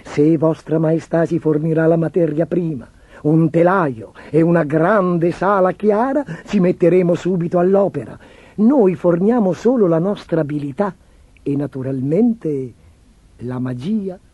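A man playing a sly, high-voiced flattering tailor. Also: unhurried, husky, no echo, lo-fi sound. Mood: ingratiating. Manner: ingratiating, assured. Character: smooth-talking, sly swindler tailor flattering his clients. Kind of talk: theatrical children's storybook acting.

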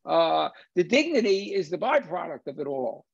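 A man's voice: a little high, very animated, normal speed, gravelly, husky, no room sound. An older man hosting a film discussion on a video call, emphatic and repeating phrases for stress. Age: older, 60s or 70s. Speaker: film discussion host and lecturer. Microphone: video call, computer at desk distance. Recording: dull lo-fi video-call audio.

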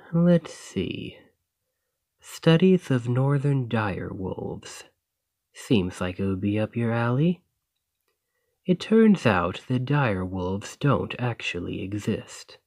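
A man playing a gentle, bookish, lovestruck dragon librarian in a romantic ASMR roleplay, speaking softly and earnestly with a slightly shy manner. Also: lowish voice, dry recording, clean studio sound, heavily acted. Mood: chatty, fond.

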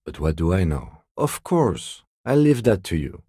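A reserved police detective for a game, real low and mellow, dry deadpan delivery. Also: male voice, husky, dry recording, heavily acted. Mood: dry, composed. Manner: measured, restrained, precise, deadpan. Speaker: video game voice actor. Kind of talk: role-playing game dialogue.

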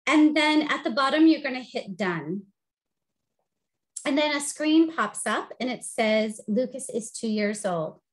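A middle-aged woman with a high-pitched voice, teaching a webinar over a video call with an upbeat, friendly delivery full of "um"s. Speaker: presenter and teacher. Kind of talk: instructional webinar presentation. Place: home office, video call.

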